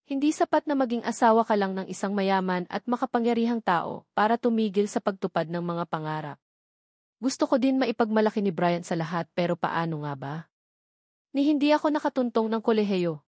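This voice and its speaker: A woman's voice: mid-range voice, a little mellow, dry recording, clean studio sound. A woman reading a romance novel aloud as a scripted first-person narration. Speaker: audiobook narrator.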